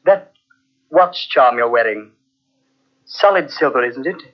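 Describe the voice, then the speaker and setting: A man's voice: mid-range voice, lively intonation, a little slow. An actor playing a secretive male host in an old radio drama, who speaks in a reassuring, evasive way. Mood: guarded. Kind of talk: 1940s radio acting. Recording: dull old radio transcription.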